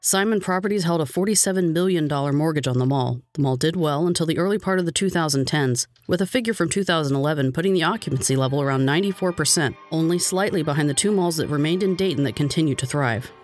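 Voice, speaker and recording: American guy doing measured documentary voiceover, voice a bit high, faint music behind. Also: no room sound. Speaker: video narrator. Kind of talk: scripted documentary narration. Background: background music.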